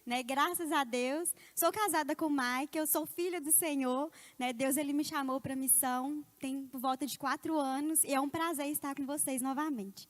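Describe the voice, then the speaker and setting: A woman's voice: sits high, steady tone, moderate pace, few pauses. A woman from Ipatinga, a missionary giving a conversational church teaching talk, often ending phrases with a tag question. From Ipatinga, Minas Gerais, Brazil. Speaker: missionary speaker.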